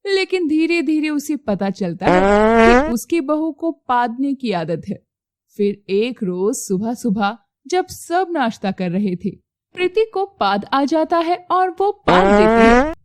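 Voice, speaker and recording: A woman narrating a scripted moral story as an expressive storyteller. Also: fairly high pitch, takes it slowly, dry recording, clean studio sound.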